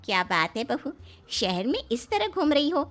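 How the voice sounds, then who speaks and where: A woman's voice: high-pitched, sing-song, normal speed, fairly bright tone, soft background music. A woman voicing a nosy older aunty who questions and disapproves in a moral story. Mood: disapproving. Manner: inquisitive, scolding.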